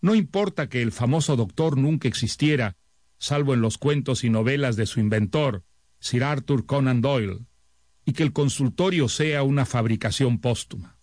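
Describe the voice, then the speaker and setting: A man reading a scripted introduction to a literary radio series in a measured, expository manner. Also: medium pitch, darkish tone, dry recording, studio quality. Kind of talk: scripted narration.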